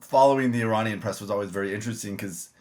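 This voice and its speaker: A man's voice: on the low side, rapid-fire, darkish tone. A man who works as a journalist, speaking on a panel in a light, conversational way with some hesitant starts. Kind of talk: panel discussion.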